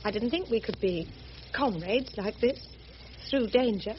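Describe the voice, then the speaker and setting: Woman acting high-voiced, prim spinster. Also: unhurried, natural voice. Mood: earnest, shy. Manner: proper, earnest, gently insistent. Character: prim, earnest, sheltered missionary spinster with quiet resolve. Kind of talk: radio drama acting. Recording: muffled, noisy old radio recording.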